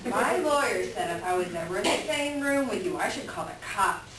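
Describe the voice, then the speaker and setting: A woman's voice: mid-range voice, animated, a little fast, a bit bright, natural voice. A woman acting a cool, cutting ex-wife with a dry, mocking delivery in a staged play reading. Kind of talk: staged readers' theater acting. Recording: echoey room, distant mic, noisy background.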